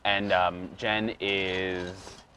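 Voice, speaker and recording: A man's voice: low voice, drawn-out words, background noise. A man co-hosting a casual reality TV recap show, talking with frequent ums and restarts. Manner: animated, hesitant with many ums and restarts. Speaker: podcast co-host.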